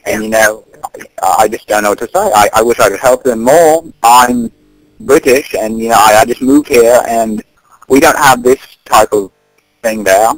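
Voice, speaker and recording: A man playing an earnest, concerned British neighbour on a prank phone call, speaking haltingly and repeating his words. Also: medium pitch, dry recording, close to an everyday voice.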